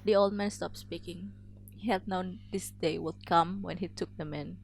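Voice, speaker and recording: A woman's voice: lowish voice, fairly even intonation, normal speed, gravelly, a little hiss or hum, poor audio. A woman reading a story aloud as a narrator, in a dramatic storybook style. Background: music.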